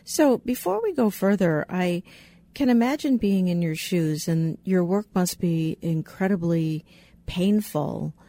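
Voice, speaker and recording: Low, mellow woman interviewer. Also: expressive, unhurried, no echo. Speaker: interviewer, host. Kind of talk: conversational interview.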